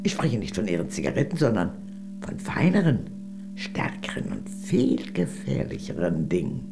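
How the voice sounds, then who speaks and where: A woman's voice: very deep voice, wildly swooping pitch, moderate pace, vocal fry, over music, exaggerated voice. An actress playing a worldly, gracious older lady, a former communist, in a radio play, speaking in a genteel, arch, confiding way. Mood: wry, confiding.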